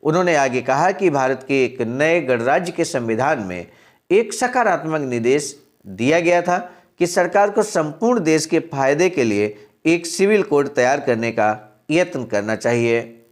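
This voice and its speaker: A man with a somewhat high voice presents a daily message in a steady, earnest way. He reads a historical text aloud, pauses to explain it, and calls his listeners honored friends. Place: center in Sarnath, Varanasi.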